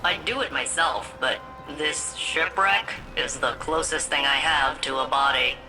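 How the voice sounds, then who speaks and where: A woman voicing a moody, sarcastic game ship computer in a deadpan, casual, world-weary manner. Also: deep voice, a little slow, low-quality recording. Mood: sullen, sarcastic. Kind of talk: video game character dialogue. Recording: loud music behind the voice.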